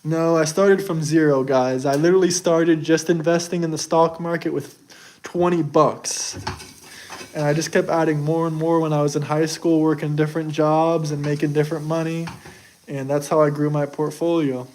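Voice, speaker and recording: Young american guy on a stream, kinda high voice, defensive rambly chat, faint hiss behind. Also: flat delivery, normal speed, a little mellow. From United States. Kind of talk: casual live chat.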